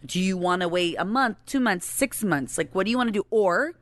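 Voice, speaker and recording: A woman in her late thirties co-hosting a podcast in casual, chatty banter with her spouse, informal and quick to laugh. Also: medium pitch, animated. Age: late 30s. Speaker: podcast co-host.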